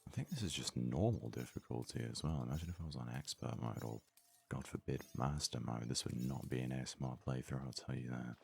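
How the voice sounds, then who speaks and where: A man with a deep, rough, gravelly voice gives soft-spoken, unhurried ASMR commentary as a gaming host. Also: a little hiss or hum. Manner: soft-spoken, low-key, unhurried musing. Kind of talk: ASMR let's play commentary.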